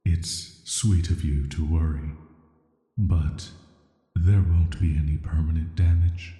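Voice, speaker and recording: A man playing an ancient banished villain in an audio roleplay, speaking softly in a flat, measured, hesitant way. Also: full character voice. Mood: wounded, gentle. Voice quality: very deep, soft, atmospheric. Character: ancient banished male villain, immortal cursed being, calm and flirty. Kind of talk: slow scripted roleplay audio drama monologue. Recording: echoey, long cavernous reverb.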